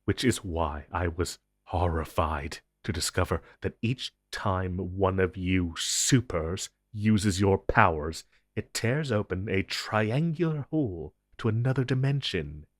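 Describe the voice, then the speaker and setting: A man playing an ancient, powerful supernatural being in an audio roleplay, speaking gravely and commandingly with dramatic pauses. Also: expressive, no room sound, studio quality. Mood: grave, confiding. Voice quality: low, husky. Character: ancient, powerful, possessive male supernatural being, grim secret-agency superior. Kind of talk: intense dramatic audio roleplay monologue addressed to listener.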